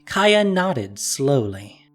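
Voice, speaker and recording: Story narrator: measured, wry delivery with an animated, expressive pitch. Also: soft background music.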